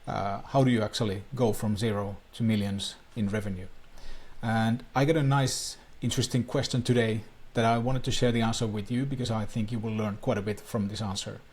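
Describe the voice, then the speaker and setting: A man's voice: fairly low pitch, fairly even intonation, a little fast, darkish tone, husky. A man from Finland, a native Finnish speaker, coaching viewers on online marketing in an earnest talking-head explainer with plain, slightly non-native phrasing.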